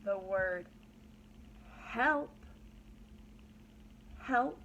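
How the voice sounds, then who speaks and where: A woman's voice: mid-range voice, lively intonation, extremely slow, fairly bright tone, highs cut off, slight background noise. A woman pre-kindergarten teacher leading a read-aloud lesson for young children, using simple, repeated phrases and prompting questions.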